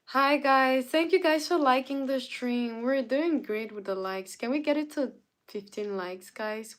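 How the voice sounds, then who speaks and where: A young woman from Canada hosts a relaxed live stream, talking at an unhurried, drawn-out pace. She greets viewers one by one and replies to their chat comments in an easygoing, conversational way. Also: a little high. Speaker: livestreamer.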